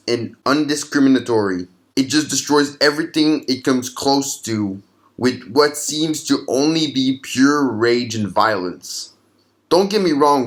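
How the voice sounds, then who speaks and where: A male narrator presents horror fiction as a documented case file. His voice sits at an ordinary middle pitch, in the role of a host guiding listeners through the material.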